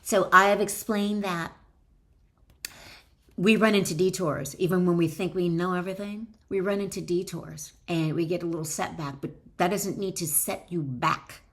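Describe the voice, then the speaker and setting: A woman speaking as an author and wellness teacher, addressing the listener directly in emphatic, deliberate phrases as she instructs and reads from her book. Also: lowish voice, fairly even intonation, fairly bright tone. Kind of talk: instructional talk mixed with expressive reading aloud from own book.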